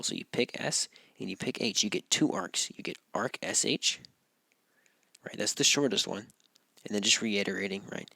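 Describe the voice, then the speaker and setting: A male math teacher walks through geometry notes, a little hoarse because he is losing his voice. His voice is lowish and gravelly with a bright edge. He keeps it relaxed and checks in with "right?" again and again, and the sound is dry and close. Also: no room sound. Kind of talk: recorded classroom walkthrough.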